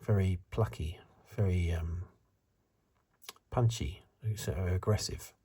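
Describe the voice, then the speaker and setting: A man giving soft-spoken, partly whispered ASMR review commentary in a breathy, hesitant, musing way. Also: sits low, slow pace. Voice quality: breathy, hushed. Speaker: host of a film review video.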